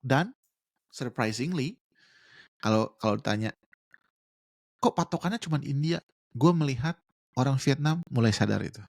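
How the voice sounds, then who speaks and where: Brief: man, gravelly, dry close sound, patient explainer, slangy with English words. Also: medium pitch, expressive, moderate pace, long pauses, a little mellow, dry recording. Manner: explains with examples, slangy Jakarta register, mixes in English words. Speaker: guest. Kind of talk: casual podcast chat.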